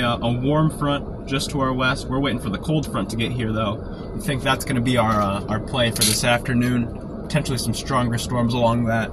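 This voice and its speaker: A man with a sore throat from a cold, keeping his voice quiet and low while chatting casually on a live stream from inside a parked car. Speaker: storm chaser live-streaming. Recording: noisy car interior. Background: car and outdoor traffic noise.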